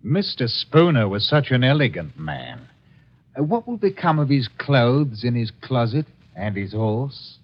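An actor playing a grasping, fawning hired ruffian in a 1950s radio drama. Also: male voice, medium pitch, sing-song, unhurried. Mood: greedy. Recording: muffled 1950s radio recording.

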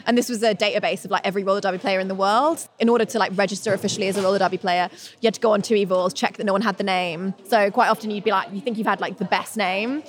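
A woman roller derby player talks quickly and chattily, with lots of fillers. She tells her story in a casual interview. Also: mid-range voice, fairly even intonation, soft background music.

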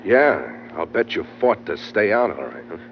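An actor playing a tough, sardonic ship's captain in an old-time radio adventure, wry and hard-boiled. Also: male voice, fairly low pitch, very animated, moderate pace, slight background noise. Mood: sardonic, wary. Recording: muffled vintage radio recording with music.

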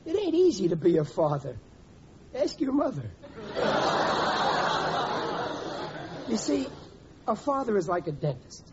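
An actor playing a blustery, scheming but soft-hearted working-class father in an old radio comedy, wheedling and ungrammatical. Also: high-pitched, big pitch swings, normal speed. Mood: blustery, indignant. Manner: blustery, wheedling, uneducated grammar. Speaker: sitcom lead actor. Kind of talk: 1940s radio comedy acting. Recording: muffled, noisy old radio recording.

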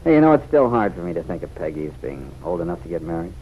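Young man, vintage radio serial: lowish voice, very animated, bantering, on a noisy old recording. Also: fast talker. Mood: teasing. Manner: bantering, chuckling. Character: teasing, good-humoured young man, Mary's son. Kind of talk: old-time radio drama acting.

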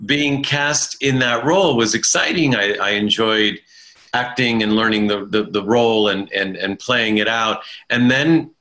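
Bright-voiced man telling stories reflectively. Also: lowish voice, rough recording. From Italian-speaking family in America. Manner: thoughtful, halting restarts, self-deprecating humor. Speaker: interview guest, stage performer. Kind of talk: reflective conversational storytelling.

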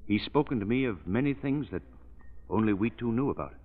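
A man's voice: lowish voice, animated, a little fast. A male actor playing an earnest, bereaved government man with a hesitant manner in a 1940s-style radio play. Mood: earnest, wistful. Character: earnest bereaved War Department man, believer in seances. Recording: noisy, phone-like old radio recording.

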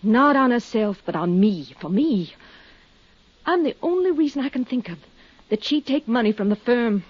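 A woman's voice: a little high, lively intonation, normal speed, husky, no echo. An actress playing a fragile, guilt-ridden woman grieving her sister in a 1950s radio melodrama. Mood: sad, subdued. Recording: dry, muffled 1950s radio recording.

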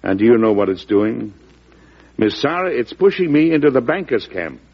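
An actor playing a stern, wry older American president in a 1940s radio drama, emphatic with an occasional stammer. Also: male voice, mid-range voice, very animated, moderate pace, creaky, husky, exaggerated voice. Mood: wry concern. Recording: muffled old radio broadcast.

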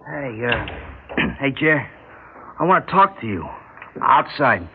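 A man's voice: mid-range voice, big pitch swings, slow pace. A man with an American accent acting a greedy, reckless young crook in a radio drama, slangy and urgent. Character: excitable, reckless young male small-time crook, greedy. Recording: tinny, noisy old radio broadcast.

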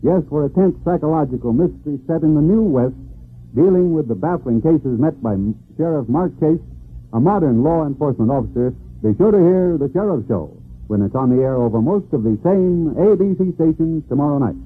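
Lively husky radio announcer. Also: male voice, on the high side, a little fast. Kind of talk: scripted promo announcement. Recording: tinny old radio broadcast with music.

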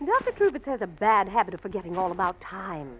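An actress playing a courteous, reassuring audition assistant in an old radio drama. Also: female voice, mid-range voice, very animated, fast, natural voice. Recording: dull, hissy old radio recording.

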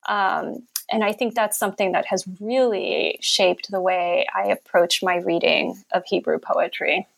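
A woman speaks in a thoughtful, searching way, often pausing on fillers and restarting her sentences. Her voice has a gravelly, creaky edge. She sounds like an author explaining her own reading of a text. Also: mid-range voice, steady tone. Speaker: author and scholar. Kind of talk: interview conversation, reading a passage aloud.

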